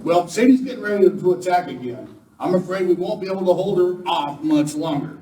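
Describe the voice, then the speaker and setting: Man acting a high-pitched, earnest western lookout. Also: lively intonation, a little fast, mellow. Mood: alarmed. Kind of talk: amateur live western radio play. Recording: echoey hall, distant mic, noisy.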